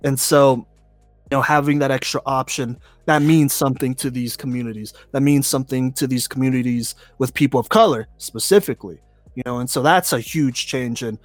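A man's voice: medium pitch, brisk, dry recording, soft background music. A man co-hosting a podcast, talking earnestly about voting and change, with lots of "you know" fillers. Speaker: podcast co-host. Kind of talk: casual opinion talk.

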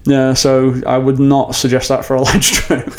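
A middle-aged man, co-hosting a casual podcast chat, blunt and quick to swear and laugh. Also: mid-range voice, completely flat delivery. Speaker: podcast co-host.

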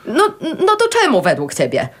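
A woman's voice: on the high side, huge pitch swings, moderate pace, breathy edge, exaggerated voice. An actress playing an anxious, defensive, stubborn middle-aged wife and mother in a naturalistic radio drama, answering in curt, indignant retorts. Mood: hurt, indignant.

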